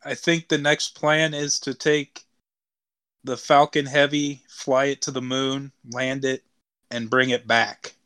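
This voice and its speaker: A man with a smooth, clean voice of middling pitch chats casually. He sounds like a podcast co-host joining in an informal discussion. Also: level tone, slow pace, pushed and bright. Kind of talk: casual chat.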